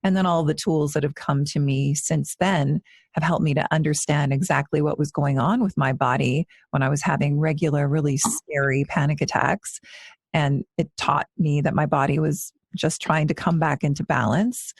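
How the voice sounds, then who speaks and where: A woman's voice: sits low, fairly even intonation, normal speed, gravelly, husky, no echo, clean studio sound. A woman in her forties, a yoga teacher co-hosting a podcast, talking in an earnest, reflective way with frequent restarts. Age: 40s. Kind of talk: casual podcast conversation.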